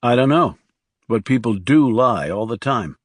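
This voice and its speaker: A man's voice: mid-range voice, expressive, unhurried, no room sound, studio quality. A man voicing a guarded, blunt male assassin in terse audiobook dialogue. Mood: businesslike, skeptical. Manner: terse, questioning.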